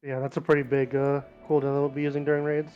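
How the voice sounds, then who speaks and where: Higher-voiced man in casual game chat. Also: level tone, fast talker, lo-fi sound. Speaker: teammate in an online game raid. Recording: game music behind the voice.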